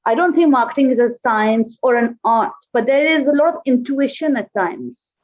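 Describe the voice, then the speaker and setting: A woman whose first language is Urdu, a marketing manager answering interview questions thoughtfully, often pausing with "uh". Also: on the high side, animated, a little mellow. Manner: thoughtful, with frequent "uh" pauses. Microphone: remote call. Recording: dull, lo-fi call audio.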